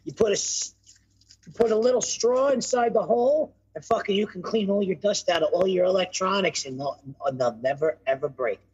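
A young man with a raspy, husky voice that sits high for a man. He jokes loudly and curses freely through a phone mic from his room at home, and the sound comes through dull and lo-fi. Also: fairly quick. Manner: loud, joking, cursing freely. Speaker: podcast co-host. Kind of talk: casual chat. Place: home room on video call. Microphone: phone mic, no headset.

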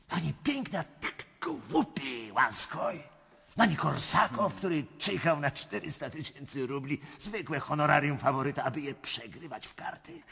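A jealous hot-blooded prince, really raspy, up and down a lot, stagey radio drama. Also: male voice, mid-range voice, a bit bright, full character voice. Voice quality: husky, gravelly. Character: passionate, jealous prince and favourite of an empress. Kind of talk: theatrical radio drama acting. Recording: long reverberant hall sound.

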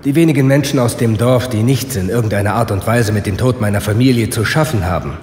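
An actor playing a resigned, sardonic condemned prisoner in a German radio play, speaking articulately. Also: male voice, fairly low pitch, quick pace, a little mellow, echoey room, big-room sound, a little hiss or hum, lightly acted. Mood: bitter, resigned. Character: bitter, resigned condemned prisoner, weary of life.

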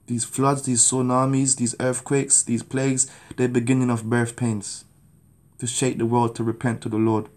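A man's voice: medium pitch, level tone, moderate pace, a little mellow. A man in his thirties from Jamaica, preaching his testimony to a phone camera in a small room at home, urgent and pleading. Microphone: phone camera at arm's length.

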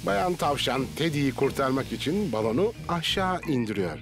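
A man's voice: medium pitch, expressive, normal speed, lightly acted. A man narrating a children's cartoon as a scripted storyteller. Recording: music behind the voice.

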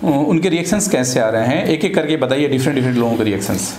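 A middle-aged man with an Indian accent interviewing a patient formally as a voice therapist, in a measured, deliberate way. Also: mid-range voice, lively intonation, a little mellow. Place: small clinic office, indoors. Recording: echoey room, faint background noise.

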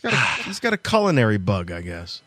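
A man's voice: on the low side, wildly swooping pitch, fast. A man co-hosting a podcast in casual conversation. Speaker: podcast co-host. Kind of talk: casual chat.

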